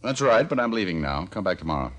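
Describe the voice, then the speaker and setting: Wisecracking private detective, American, flippant and dry, low lively voice, vintage radio play. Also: male voice, fast talker. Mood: sheepish, wry. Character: wisecracking, down-on-his-luck private detective man. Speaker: lead actor in a radio detective series. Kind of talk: 1940s-50s radio detective acting.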